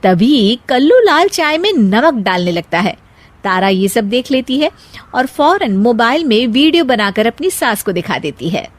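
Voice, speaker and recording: Female story narrator: animated, swooping intonation, fairly high pitch, scripted storybook delivery. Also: a little slow.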